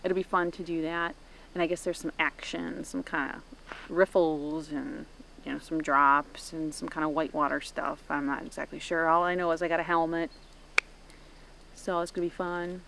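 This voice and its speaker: Chatty woman with a lowish voice outdoors. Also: little pitch movement, moderate pace, creaky, a bit bright, a little hiss or hum, rough recording. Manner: chatty, upbeat, self-mocking. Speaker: first-time canoe camper. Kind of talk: casual outdoor video diary. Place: outdoors at a forest campsite.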